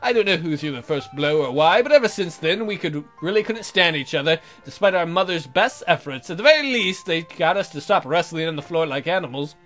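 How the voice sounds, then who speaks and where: A man with a high, bright voice reads a visual novel aloud as a let's play host. His delivery is exaggerated and comic, breaking into joking asides, with music playing faintly behind him. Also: lively intonation, fairly quick, hardly any pauses. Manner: theatrical, jokey, exaggerated.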